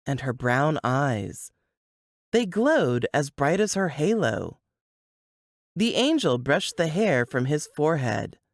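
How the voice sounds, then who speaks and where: Woman reading a novel excerpt, slow pace, very lively pitch, dry sound. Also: no room sound. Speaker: audiobook narrator. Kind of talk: scripted fiction narration.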